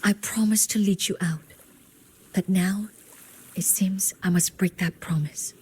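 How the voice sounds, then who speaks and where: A woman playing a gentle, sorrowful healer in a fantasy game, speaking softly and formally, often close to a whisper. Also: medium pitch, fairly even intonation, slight background noise, close to an everyday voice. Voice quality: soft, breathy, often near whispering. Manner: solemn, measured, formal speech. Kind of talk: scripted fantasy game dialogue.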